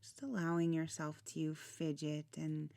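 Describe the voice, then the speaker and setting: A woman leading a guided relaxation meditation in a soothing, gentle manner, with soft, breathy phrase endings. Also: deep voice, flat delivery, unhurried, dry recording. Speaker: meditation guide, channel host.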